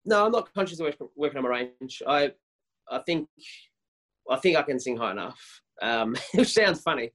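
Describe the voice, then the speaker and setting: A man in his thirties with a higher voice, a worship leader talking casually and eagerly in an interview, often restarting his sentences. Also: lively intonation, normal speed, a bit bright. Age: 30s. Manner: enthusiastic, many restarts and repeated words.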